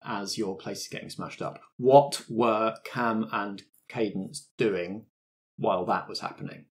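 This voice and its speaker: A man's voice: fairly low pitch, fairly even intonation, measured pace, a little hiss or hum. A man speaking as game master, explaining rules and narrating scenes in a conversational, encouraging way. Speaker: game master of a tabletop roleplaying podcast. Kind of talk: improvised tabletop game narration and casual chat.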